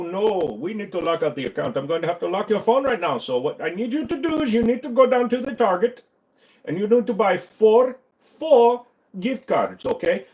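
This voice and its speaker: Man doing a put-on Indian English accent, phone scam caller, pushy and overly polite, raised pitch, higher than his usual voice. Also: darkish tone. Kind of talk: comic impression on a livestream.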